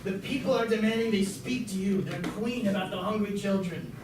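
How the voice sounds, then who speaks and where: A woman's voice: medium pitch, a little fast, close to an everyday voice. A woman voicing a bumbling, self-important male king of a space empire in an amateur comic puppet show. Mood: placating. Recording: echoey distant recording with clear noise.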